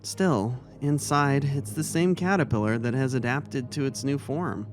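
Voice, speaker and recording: A man acting a kindly, caring male vice-principal and mentor in a dramatic story reading, speaking gently and earnestly. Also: medium pitch, unhurried, close to an everyday voice. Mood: reassuring, caring. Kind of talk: dramatic reading of fan fiction. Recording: music under the voice.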